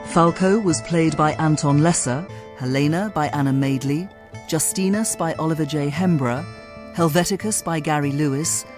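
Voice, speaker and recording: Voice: medium pitch, normal speed. A radio announcer reading scripted drama credits in a formal continuity style. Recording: plucked guitar music behind. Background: plucked string music.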